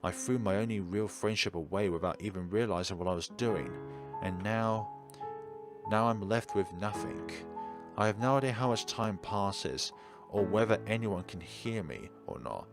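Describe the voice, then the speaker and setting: A man's voice: fairly low pitch, moderate pace, music in the background, lightly acted. A man reading an anguished, self-blaming teenage schoolboy who pleads and narrates his own story in a visual novel. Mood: grieving.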